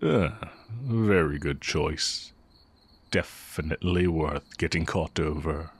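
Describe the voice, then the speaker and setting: An extremely deep, slow-talking heretic sorcerer acted by a man, once an academy teacher. He speaks intimately and straight to the listener, with smug, wry condescension and an undercurrent of affection, as in a fantasy audio roleplay. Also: slightly rough, exaggerated voice. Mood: wry, disdainful. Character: exiled heretic dark-magic teacher, smug, fond, villainous.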